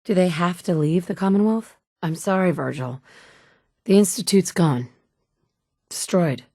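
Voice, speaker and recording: A woman's voice: fairly low pitch, measured pace, mellow, dry recording, studio quality. A woman voicing a determined young mother who searches a ruined world for her kidnapped son, blunt and dryly sarcastic, recorded as video game dialogue. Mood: steady. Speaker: player character voice actress. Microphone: studio mic.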